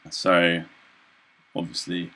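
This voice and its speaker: A young man giving an informal software demonstration as a student presenter, hesitant and halting, with frequent ums and throat clearing. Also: deep voice, completely flat delivery. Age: young adult.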